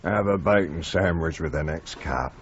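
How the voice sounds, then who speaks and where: An actor playing a dry, world-weary café worker, speaking deadpan in a radio drama. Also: male voice, very deep voice, normal speed. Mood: wry, unimpressed. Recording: muffled, noisy old radio broadcast.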